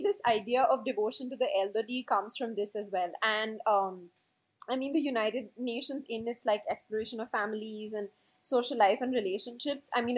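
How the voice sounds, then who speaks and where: Female host, husky and thoughtful, guides the panel with questions. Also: on the high side. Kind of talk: moderating a discussion.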